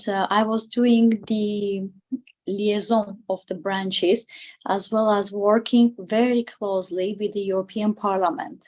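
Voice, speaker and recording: A woman at a middling pitch. She answers as an interview guest in a conversational way. Her delivery is halting, as she repeats and rephrases words while she builds her sentences. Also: darkish tone. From Armenia. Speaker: interview guest, political candidate.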